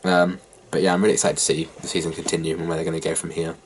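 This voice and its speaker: Congested English man with a deep voice. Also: racing, slight background noise. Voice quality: hoarse from a sore throat. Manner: rambling, lots of ums, mildly flat delivery. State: nasal, blocked-up head cold. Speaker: reaction video host. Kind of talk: casual chat to camera.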